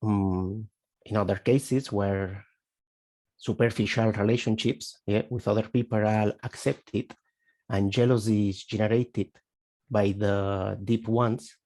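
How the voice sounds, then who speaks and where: A man's voice: lowish voice, steady tone, takes it slowly, a little mellow. A man from Spain with a Spanish accent, a Spanish native speaker asking a question on a video call, searching for words as he goes. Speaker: webinar participant asking a question. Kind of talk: halting question in a video webinar.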